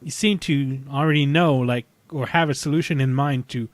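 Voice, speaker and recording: Halting man with a close, dry sound. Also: mid-range voice, no echo. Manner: halting, thinking aloud. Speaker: podcast panelist. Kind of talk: casual chat reviewing sci-fi television.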